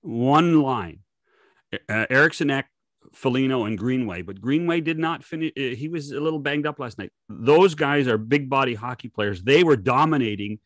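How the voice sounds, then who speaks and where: A man's voice: mid-range voice, normal speed, a bit bright, no room sound, clean studio sound. An older man co-hosting a sports podcast, chatting casually and emphatically into a desk microphone, often repeating phrases for weight. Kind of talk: animated casual sports chat. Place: home study on a video call.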